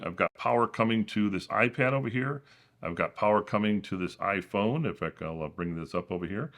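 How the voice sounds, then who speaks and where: Low booming man giving a studio tour. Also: fairly bright tone. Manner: casual and explanatory, with frequent fillers and restarts. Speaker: channel host. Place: small home studio at a desk. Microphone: camera-mounted shotgun mic.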